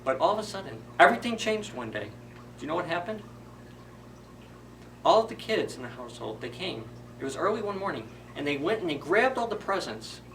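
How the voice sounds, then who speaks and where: A man's voice: on the high side, very animated, a little fast, a bit bright, far from the mic, slight background noise, poor audio. A man retelling a story for children as a library storyteller, informally, in simple phrases with questions to the listeners.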